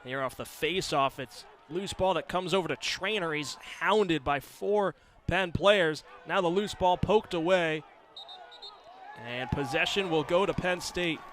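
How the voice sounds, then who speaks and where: A man's voice: fairly high pitch, a little slow, a bit bright. A man calling live sports as a play-by-play announcer on a broadcast.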